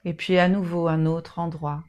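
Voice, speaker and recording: A woman leading a guided yoga nidra meditation in a soothing, soft manner with long pauses. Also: lowish voice, level tone.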